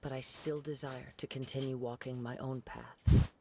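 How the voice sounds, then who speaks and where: A man voicing a calm, poetic young wandering swordsman in a video game, soft-spoken and formal. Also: mid-range voice, normal speed, very noisy, lightly acted.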